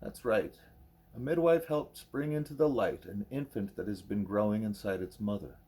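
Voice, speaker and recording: A man voicing a low, husky, wise gorilla teacher. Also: mellow. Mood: patient, instructive. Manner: measured, probing, formal diction. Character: wise, patient male gorilla teacher, probing and deliberate.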